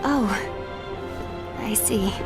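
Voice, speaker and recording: A woman playing an earnest, composed, dutiful disciple in a game cutscene, speaking formally and with measured care. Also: on the high side, over loud music. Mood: uneasy. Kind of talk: animated game cutscene voice acting.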